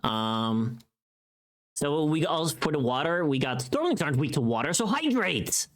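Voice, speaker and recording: A woman's voice: low voice, very animated, moderate pace. A woman livestream host chatting casually, rambling playfully and muttering to herself as she goes.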